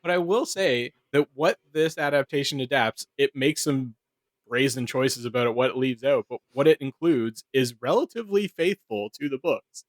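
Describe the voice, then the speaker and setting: A man's voice: medium pitch, moderate pace, no room sound. A man chatting casually on a podcast panel, wry and slangy with some swearing. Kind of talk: casual chat.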